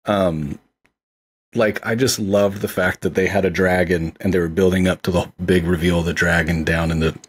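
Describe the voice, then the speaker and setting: A man's voice: sits low, steady tone, moderate pace, breathy edge. A man co-hosting a podcast, talking casually and enthusiastically about a film, with lots of fillers. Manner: enthusiastic, rambling with many fillers. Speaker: podcast co-host. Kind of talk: casual movie discussion.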